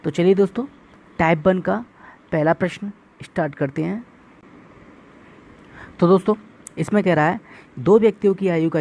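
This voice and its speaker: A man's voice: high-pitched, a little mellow. A male maths teacher giving an exam-prep lesson, explaining step by step, asking questions and answering them himself, and calling viewers friends.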